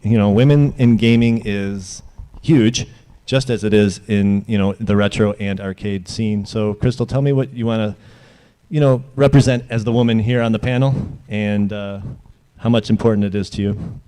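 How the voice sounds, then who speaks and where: A man moderating a convention panel, asking the panelists questions in a halting way as he searches for words. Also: lowish voice, fairly even intonation, warm tone, clean tone.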